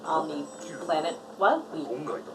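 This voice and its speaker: A woman's voice: low voice, lively intonation, slow, a loud crowd. A woman giving casual, wry live commentary as co-host while watching a show. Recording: distant mic, TV music clearly behind. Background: television show playing with music.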